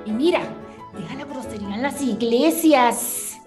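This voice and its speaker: A bossy Venezuelan scold played by an actress in a radionovela. Her voice is high, and music plays clearly behind it. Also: measured pace. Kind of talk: radionovela acting.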